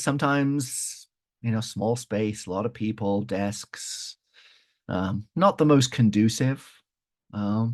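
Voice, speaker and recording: A man with a big, projecting voice, teaching a webinar as a facilitator and acting out little scenes as he talks. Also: on the low side. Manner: lively, acts out quoted dialogue, frequent "all right". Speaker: workshop facilitator and guest trainer.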